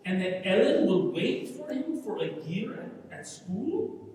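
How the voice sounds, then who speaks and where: Idealistic young Irish countryman male actor, high and swooping, speechifying across an echoey hall. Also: big pitch swings, a little slow, darkish tone. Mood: earnest, surprised. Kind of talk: dramatic stage reading of a play. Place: large reverberant indoor hall, from far off. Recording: very echoey hall, distant mic.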